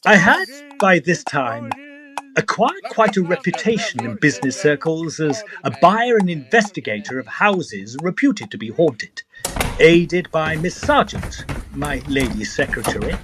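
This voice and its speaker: A man playing a proud, proper Edwardian investigator, narrating his tale in formal, measured phrases. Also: fairly high pitch, big pitch swings, a crowd in the background. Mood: self-satisfied. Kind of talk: scripted first-person narration.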